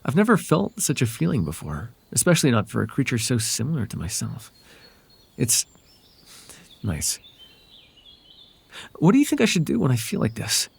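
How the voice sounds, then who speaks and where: Man acting a sly, breathy-rough male fairy, lively pitch. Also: normal speed, pauses often, full character voice. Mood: smitten. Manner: hesitant, intimate, conversational. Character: whimsical, smitten male fairy traveller, softly possessive and sly. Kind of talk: ASMR roleplay voice acting.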